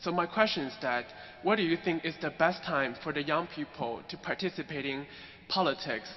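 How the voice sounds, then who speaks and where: A young man from China with a Chinese accent, a student putting a prepared question to a speaker at a public forum. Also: on the high side. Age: young adult. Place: large hall at a public forum. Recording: dull, noisy hall microphone recording.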